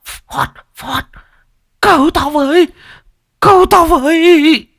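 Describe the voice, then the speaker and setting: A man voicing a panicked old village man who wails and stammers in high screams. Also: slow, a bit bright, full character voice. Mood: panicked shrieks.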